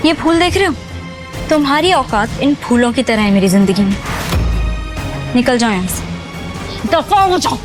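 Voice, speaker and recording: An actress playing a proud, anxious young wife in an audio drama, with a sharp, demanding manner. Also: female voice, fairly high pitch, animated, unhurried, pauses often, music in the background. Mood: contemptuous. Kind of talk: Hindi audio drama acting.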